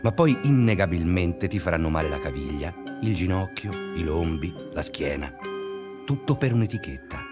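An actor playing a brooding schoolteacher who narrates his small obsessions in a deliberate, introspective way for a dreamlike radio drama. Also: deep voice, big pitch swings. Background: music.

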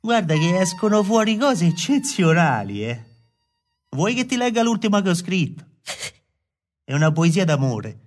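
A man's voice: high-pitched, very animated, moderate pace, pauses often. Rambling southern Italian lovelorn poet, played by a man. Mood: eager, self-important. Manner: rambling, confiding, self-absorbed, colloquial. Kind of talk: radio play acting.

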